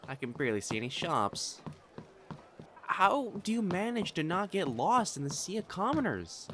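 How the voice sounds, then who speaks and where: Man playing a haughty, flustered young nobleman, wildly expressive. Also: sits high, normal speed, background noise. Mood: wistful, formal. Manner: haughty formal diction, stammering when flustered. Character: proud, flustered, possessive young noble matchmaker, secretly smitten. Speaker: voice actor in a romance audio roleplay. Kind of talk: acted romantic audio drama monologue to the listener.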